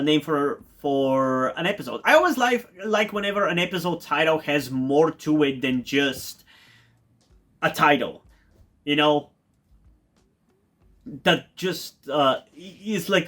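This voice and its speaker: A man's voice: on the high side, a bit bright. A man from Bulgaria whose first language is Bulgarian, casually reviewing a TV episode with lots of fillers, restarts and eager repeated words. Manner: rambling, many fillers and restarts; enthusiastic, repeats words for emphasis. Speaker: TV review YouTuber.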